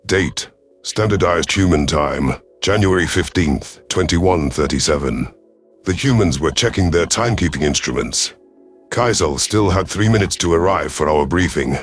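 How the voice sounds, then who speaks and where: Very deep-voiced man narrating science fiction. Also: breathy edge. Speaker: audiobook narrator. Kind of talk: scripted dramatic story narration. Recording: music behind the voice.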